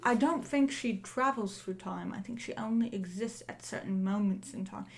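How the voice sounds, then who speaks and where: A woman playing a cheeky young male Cockney lamplighter, chatting in a halting, repetitive, self-assured way in an improvised comedy review. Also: mid-range voice, creaky, natural voice. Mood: opinionated. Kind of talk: improvised in-character film review chat.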